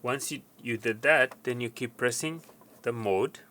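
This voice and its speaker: A man presents an informal technical how-to in a mid-pitched, clearly bright voice. He explains things step by step, with a slightly halting delivery as he instructs. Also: slight background noise. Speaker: technician giving tutorial.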